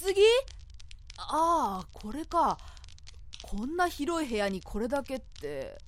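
A woman playing an eager, bookish and timid young male scholar, polite and excitable, in a theatrical radio drama. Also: high voice, slow, slight background noise, full character voice. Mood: curious. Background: music.

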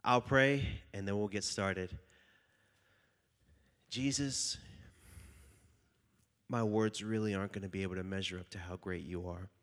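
A man's voice: low-pitched, fairly even intonation, unhurried, long pauses, fairly bright tone. A young man with a stuffy, slightly nasal voice from a cold, preaching casually and jokingly to a youth group and reading scripture aloud. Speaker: youth pastor.

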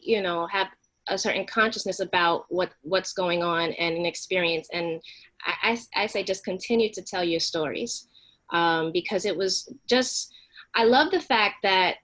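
A middle-aged woman with an American accent speaks in a casual, conversational way. Her pitch sits in the middle range as she offers feedback to a group. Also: a bit bright. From United States. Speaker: audience member giving feedback. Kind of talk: casual spoken feedback at an online play reading.

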